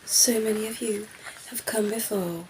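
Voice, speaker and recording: A woman voicing a cheeky, flirtatious female vampire in an ASMR roleplay, breathy and often whispering, teasing and cooing with condescending affection. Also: mid-range voice, fairly even intonation, unhurried, noisy background, lightly acted. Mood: flirty, doting.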